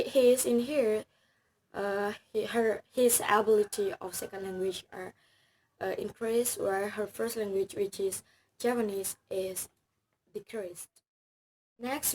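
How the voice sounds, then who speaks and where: A woman's voice: mid-range voice, dark tone, rough recording. A young woman from Bali, Balinese native speaker with an Indonesian accent, giving a class presentation in careful, hesitant speech with many fillers and self-corrections. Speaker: student presenter.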